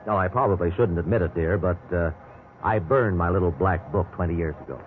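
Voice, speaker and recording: An actor playing an easygoing middle-aged American husband who banters folksily in a 1950s radio drama. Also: male voice, deep voice, a little fast, phone-quality sound, natural voice. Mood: affectionate, teasing. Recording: band-limited 1950s radio recording with hiss.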